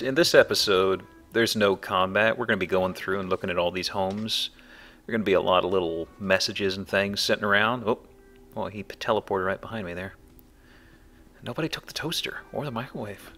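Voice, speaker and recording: Low, gravelly, forceful male game commentator. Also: normal speed, faint music behind. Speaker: let's play host. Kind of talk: casual gameplay commentary. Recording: commentary over faint game background noise.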